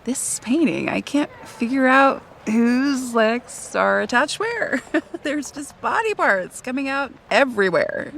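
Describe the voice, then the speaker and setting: A woman's voice: high-pitched, lively intonation, takes it slowly, creaky, a bit bright, a little hiss or hum, heavily acted. An actress playing a flippant young woman on a date, teasing and casual, in natural romcom audio drama dialogue. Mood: frank, playful.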